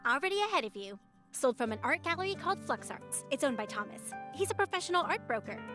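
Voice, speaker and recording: Game drama role: young female analyst colleague, high-pitched, helpful, informative, over music. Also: very animated, quick pace. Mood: upbeat, informative. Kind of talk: scripted game voice acting.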